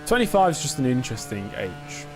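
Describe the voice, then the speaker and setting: A man's voice: on the high side, sing-song, brisk, music in the background, rough recording. A man in his twenties chatting casually on a live stream while racing, answering chat and talking himself through the pressure. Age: 20s. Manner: chatty, reads and answers chat, self-talk under pressure.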